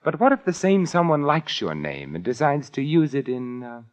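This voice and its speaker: An actor playing a suave, unflappable sleuth with urbane, dry, teasing wit, in a 1940s radio detective drama. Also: male voice, mid-range voice, sing-song, normal speed, natural voice. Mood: needling. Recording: muffled vintage 1949 radio recording.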